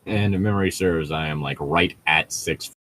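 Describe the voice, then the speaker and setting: A man's voice: low voice, moderate pace, fairly bright tone, slight background noise. A man in casual, joking conversation among friends.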